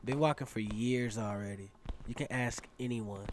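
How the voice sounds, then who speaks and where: A man's voice: on the low side, moderate pace, a little hiss or hum, natural voice. An actor playing a cocky, dreamy teenage circus acrobat who narrates his own story in a casual, self-assured way for a radio drama. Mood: casual, confident. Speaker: narrator and lead character. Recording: music playing behind the voice. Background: instrumental music.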